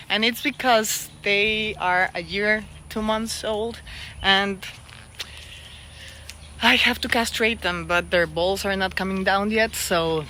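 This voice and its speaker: A woman's voice: mid-range voice, sharp tone, slight background noise. A middle-aged woman from Mexico, a TV host whose first language is Spanish, chatting openly in English with a Mexican Spanish accent and joking about her own English. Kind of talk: casual vlog chat.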